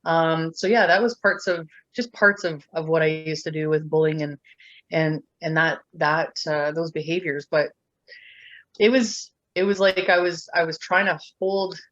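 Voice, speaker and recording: A middle-aged woman from Ontario tells her own story as an interview guest. She talks haltingly, with many fillers and restarts. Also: low-pitched, steady tone, fairly bright tone, no room sound, highs cut off. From Ontario, Canada. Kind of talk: candid personal storytelling.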